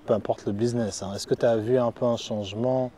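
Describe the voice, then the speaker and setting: A man's voice: mid-range voice, steady tone, fast talker, mellow. A man working as an interviewer, asking long, meandering questions in a casual, conversational way.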